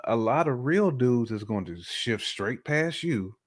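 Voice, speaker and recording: A man's voice: mid-range voice, expressive, measured pace. An American man co-hosting a casual, opinionated chat, speaking bluntly in slang and repeating phrases for emphasis. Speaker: podcast co-host.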